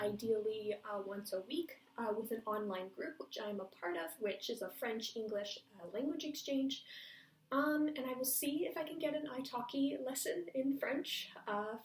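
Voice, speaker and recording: A woman's voice: fairly high pitch, a little hiss or hum. Woman, casual language vlog, fillers and self-checks, recorded in an echoey room at some distance from the mic. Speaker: language-learning vlogger. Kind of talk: casual talk to camera. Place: home room, cars outside. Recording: echoey room, voice a bit far off.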